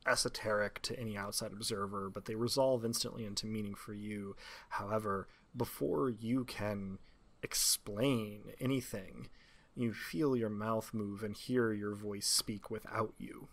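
Measured, low-voiced man as game master. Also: steady tone, fairly bright tone. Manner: measured, articulate, formal wording. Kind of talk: second-person tabletop game narration.

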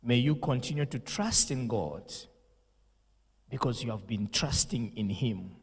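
A young pastor speaks slowly and solemnly into a handheld mic, with the emphasis of a preacher. His voice is husky, and a long reverb trails behind it. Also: mid-range voice, lively intonation. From Zambia. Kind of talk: condolence message and prayer. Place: indoor studio set with purple lighting.

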